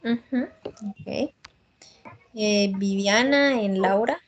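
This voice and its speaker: A Colombian woman teaches English over a video call. She speaks slowly and patiently at a middle pitch, slipping between Spanish and English as she corrects her students. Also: slight background noise. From Norte de Santander, Colombia. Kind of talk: online English class.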